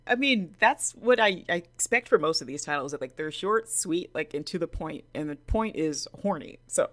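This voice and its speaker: A woman's voice: deep voice, lively intonation, moderate pace. A woman hosting a podcast in a casual, conversational style. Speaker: podcast host. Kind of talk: casual chat.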